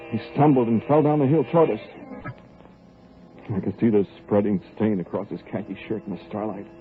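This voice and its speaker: Actor as deep gruff robber-narrator, lively swings, tinny 1940s radio with faint hiss. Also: male voice, lightly acted. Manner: gruff, clipped, tough-guy delivery. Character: hard-bitten male robber on the run, narrating.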